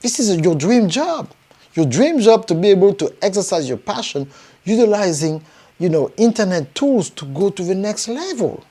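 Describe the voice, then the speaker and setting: A man with a French accent, a native French speaker, giving a live motivational pitch to viewers as a business coach, emphatic and repetitive, with frequent rising bursts. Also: big pitch swings, moderate pace, warm tone. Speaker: business coach promoting his event.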